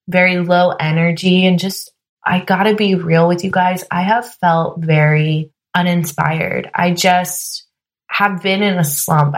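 A woman with a slightly low voice hosts her own podcast alone, still a little hoarse. She talks in a candid, chatty way, full of fillers like "you know" and "like". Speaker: podcast host. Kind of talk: casual solo podcast monologue.

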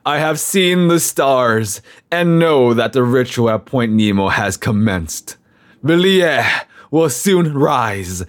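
Slow, fanatical doomsaying doctor. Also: male voice, medium pitch, lively intonation, dry recording, clean studio sound. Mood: bitter, fervent. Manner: slow, ominous, theatrical, swelling into mania. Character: fanatical, unhinged male doctor rejoicing in cosmic doom. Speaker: voice actor in an audio drama. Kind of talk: horror audio drama acting.

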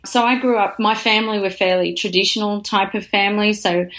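A woman's voice: medium pitch, fairly even intonation, a little fast, a bit bright, rough recording. Chatty Australian woman guest. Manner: chatty, laughs often, many restarts. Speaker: podcast guest. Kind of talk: casual interview storytelling.

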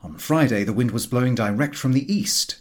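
A man reading a comic literary story aloud as an audiobook narrator, in an expressive storytelling manner. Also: medium pitch, fairly quick. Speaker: audiobook reader.

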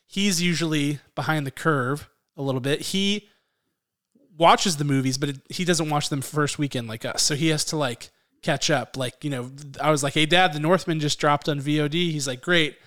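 A man's voice: fairly high pitch, moderate pace, a bit bright. A man co-hosting a movie podcast, chatting casually and teasing his co-host. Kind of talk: casual chat.

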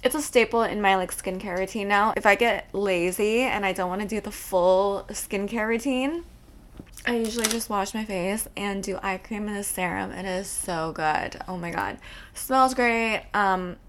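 A woman's voice: mid-range voice, normal speed, a little hiss or hum. A woman hosting her podcast, chatting casually with lots of filler words and the odd swear. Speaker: podcast host.

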